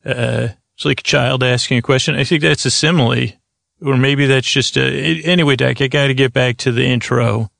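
Creaky-voiced man rambling sleepily. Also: mid-range voice, steady tone, a bit bright, dry recording, studio quality. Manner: meandering, halting, absurdist one-sided conversation with an imagined doctor. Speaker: podcast host. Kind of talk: rambling improvised bedtime comedy monologue.

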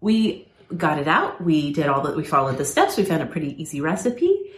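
A woman's voice: sits low, wildly swooping pitch, normal speed. A woman co-hosting a podcast, telling home stories in a casual, self-deprecating way and laughing easily. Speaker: podcast co-host. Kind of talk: casual chat. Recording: echoey room.

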